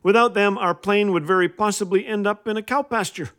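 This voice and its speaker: A man's voice: sits high, fairly quick, a bit bright. A man hosting a humorous inspirational radio show, telling scripted comic stories in a wry, playful way. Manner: wry, playful, acts out quoted lines. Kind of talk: scripted comedic storytelling monologue.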